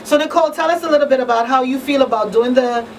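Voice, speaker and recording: A woman's voice: high-pitched, fast talker. A woman hosting a radio talk show in a conversational way, emphatic and repeating key phrases. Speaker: radio talk show host and coalition advocate. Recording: distant mic, faint background noise.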